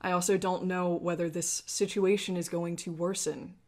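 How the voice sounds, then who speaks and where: Woman playing a grave, guarded man, low voice. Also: flat delivery, darkish tone, lightly acted. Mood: grave, resigned. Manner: measured, grave, formal phrasing. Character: guarded, weary man, impatient refugee leader with a wife.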